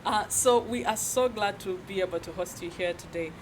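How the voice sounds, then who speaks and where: A woman's voice: medium pitch, moderate pace. A woman from Uganda hosting an interview casually, chiming in and cheering her guest on. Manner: lively, cheers and chimes in, laughs. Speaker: host. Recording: street and traffic noise.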